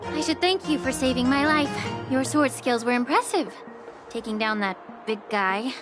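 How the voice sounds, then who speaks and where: A woman voicing a polite, thoughtful young female investigator in video game dialogue, with a gentle manner. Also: sits high, normal speed, close to an everyday voice. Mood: grateful, friendly. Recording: music behind the voice.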